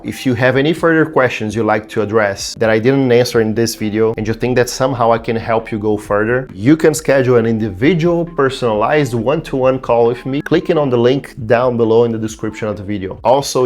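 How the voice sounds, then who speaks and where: A young man whose first language is Brazilian Portuguese, talking casually to camera about his language study routine, thinking aloud with fillers. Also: medium pitch. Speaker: language learning youtuber.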